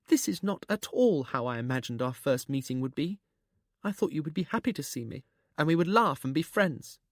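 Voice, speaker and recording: Male reader voicing a young woman, high and shaky, grief-stricken but stubborn. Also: lively intonation, brisk, no room sound, clean studio sound. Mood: wistful. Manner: high, trembling, pleading.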